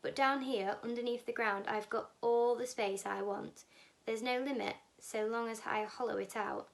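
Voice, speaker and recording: A woman voicing a brisk, excitable male chocolate factory owner who calls out commandingly and boastfully in a storybook reading. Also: a little high, gravelly. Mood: proud, explaining.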